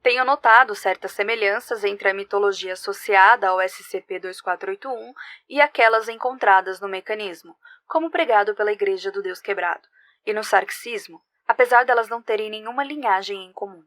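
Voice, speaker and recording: A woman plays a scholarly senior researcher in an audio drama. She reads her report formally and analytically, in measured sentences at a middle pitch. The sound is very dry, with no room around it. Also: fairly bright tone, no room sound, natural voice. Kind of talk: scripted report narration.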